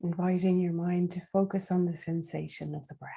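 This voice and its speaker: A woman leading a guided meditation in a soft, breathy, soothing voice, leaving long unhurried pauses. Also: lowish voice, steady tone.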